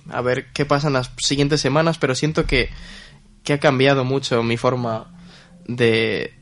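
A man's voice: mid-range voice, level tone, moderate pace. A young man, co-hosting a casual podcast in a wry, joking manner and dropping in English phrases. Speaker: podcast co-host. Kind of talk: casual chat.

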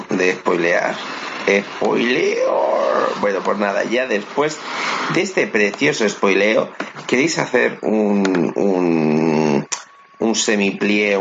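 A man's voice: on the low side, vocal fry. A man with an accent from Spain chatting casually as he hosts a podcast. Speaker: podcast host. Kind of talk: casual chat. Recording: dull lo-fi, very noisy background.

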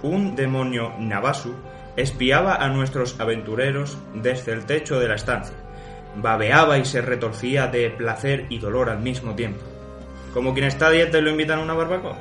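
A man's voice: medium pitch, moderate pace, fairly bright tone. A man narrating a fantasy radio drama as game master in a dramatic, scripted style. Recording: echoey room with music behind.

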